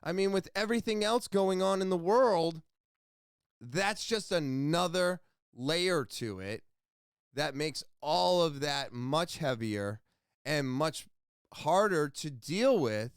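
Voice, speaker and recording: A man speaks slowly into a close mic on his own podcast, earnest and rambling, with blunt, profane asides. His voice is smooth and resonant, a little high for a man and lively in pitch. The sound is dry, with no room around it. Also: no echo. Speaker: podcast host. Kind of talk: casual solo podcast monologue.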